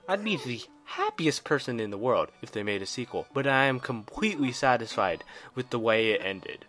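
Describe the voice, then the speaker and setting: Male game reviewer over clear background music. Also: mid-range voice, fairly bright tone.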